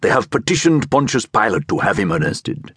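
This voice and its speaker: A man voicing a strong older man who speaks deliberately and persuasively, with a guttural Egyptian accent, in a narrated story. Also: lowish voice, big pitch swings, a bit bright, slightly rough, no echo, clean studio sound, exaggerated voice. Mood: urgent, persuasive. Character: Nahor, strong, commanding older man with magnetic menace.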